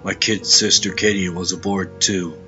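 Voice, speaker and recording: A man playing a somber crash survivor, narrating his tragedy in a measured, brooding way for a comic dub. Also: low voice, flat delivery, dull audio, loud music behind the voice, close to an everyday voice. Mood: somber, reflective.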